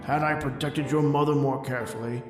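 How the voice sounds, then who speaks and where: A man's voice: medium pitch, fairly even intonation, a little fast, close to an everyday voice. A man playing a stern, possessive, snobbish old father in an old-time radio drama, lecturing pompously. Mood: stern, disapproving. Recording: echoey reverberant hall, loud music behind.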